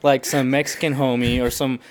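A young man talking as a podcast guest, with a middle-range voice, animated and explanatory. He jumps into acted-out quotes to make his points in a casual chat. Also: medium pitch, moderate pace, slight background noise. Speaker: podcast guest, language learner.

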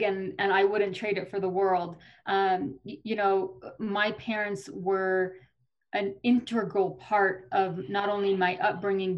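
Woman, 30s, from Colorado. Earnest, articulate guest, mid-pitched. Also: highs cut off. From Colorado, USA. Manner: earnest, articulate, with frequent fillers and restarts. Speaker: state legislator, guest. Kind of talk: podcast interview answers.

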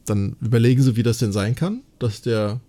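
A man's voice: mid-range voice, fairly even intonation, fairly quick, dark tone. A man recapping and discussing a story casually as a podcast co-host, chatty and enthusiastic, often adding little tag questions for agreement.

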